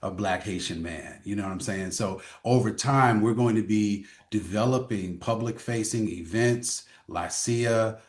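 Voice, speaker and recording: A man with an American accent speaks as a scholar on a panel, in a somewhat low voice. He lays out points deliberately, often in emphatic lists with casual asides. The sound is roomy and echoing. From Chicago, United States. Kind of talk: academic panel talk, unscripted.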